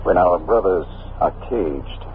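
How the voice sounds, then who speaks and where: An actor playing a gentle, fervent old hermit preacher, speaking in measured biblical oratory in a 1950s radio drama. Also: male voice, low voice, animated. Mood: fervent, prophetic. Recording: dull, noisy old radio recording.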